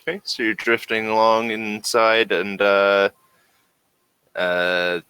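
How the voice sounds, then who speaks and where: A man narrating a tabletop role-playing game as game master in a casual, halting way, thinking aloud as he goes. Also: on the low side, fairly even intonation, bright, forceful tone, clean tone, dry recording. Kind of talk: casual, improvised game narration and rules talk.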